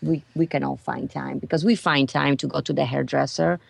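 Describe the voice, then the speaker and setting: An Italian-accented woman talks through her stories with lots of restarts and a gravelly edge to her voice. The sound is close and dry, with no room around it. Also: very deep voice, fairly quick, no room sound. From Venice, Italy. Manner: animated storyteller with many restarts. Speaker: guest. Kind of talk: casual interview chat.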